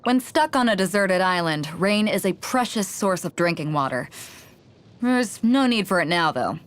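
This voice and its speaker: A woman's voice: medium pitch, expressive, moderate pace, natural voice. A woman playing a bold, hearty, easygoing sea captain for a video game, confident and comradely in manner. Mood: relaxed, hearty. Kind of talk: video game character voice lines. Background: background music.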